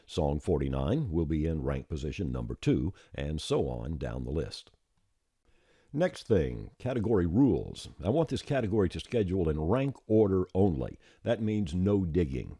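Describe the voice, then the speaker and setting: A man with an extremely deep voice narrates a step-by-step software tutorial. His tone is dark and somewhat husky, while his pitch moves around in a lively way. The delivery is plain-spoken and informal. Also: no echo, studio quality.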